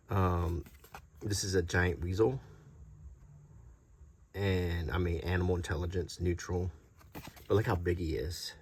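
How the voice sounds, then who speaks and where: A middle-aged man doing a casual, unscripted show-and-tell as a video host, talking in a halting, rambling, conversational way. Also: low voice, slightly rough. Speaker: YouTube host showing vintage tabletop game monster cards.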